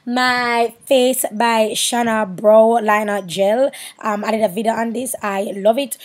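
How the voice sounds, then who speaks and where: Hoarse Jamaican woman chatting. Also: a little high, fairly even intonation, unhurried. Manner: chatty, rambling with many fillers. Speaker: makeup artist. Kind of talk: casual tutorial voiceover.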